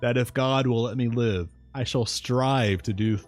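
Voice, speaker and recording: A man reading aloud and voicing a brave, grief-stricken young woman in a storybook, pitching his voice up for her. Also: a little slow, soft background music, natural voice. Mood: sorrowful resolve.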